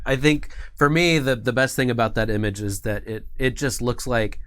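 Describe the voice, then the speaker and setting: A man's voice: medium pitch, normal speed, fairly bright tone, clear, resonant tone, no echo. A man hosting a casual livestream interview in an agreeable, chatty way. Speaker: livestream host.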